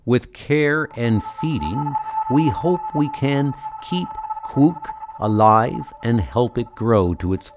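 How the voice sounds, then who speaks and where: Man voicing a calm, grandiose alien tour guide. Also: mid-range voice, slow pace, few pauses, background noise. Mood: serene, stately. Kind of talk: comic book audio dub. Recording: music under the voice. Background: music.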